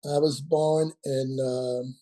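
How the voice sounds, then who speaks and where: A man around sixty, from Nigeria, talking as an interview guest and often pausing with ums and restarts. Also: medium pitch, level tone, mellow. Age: about 60. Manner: hesitant, many ums and restarts. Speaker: guest, company founder. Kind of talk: casual interview talk.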